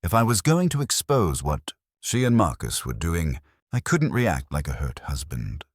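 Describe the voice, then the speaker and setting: A man narrating a scripted first-person story in a measured, dramatic storytelling manner. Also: deep voice, big pitch swings, slightly rough, no room sound, clean studio sound.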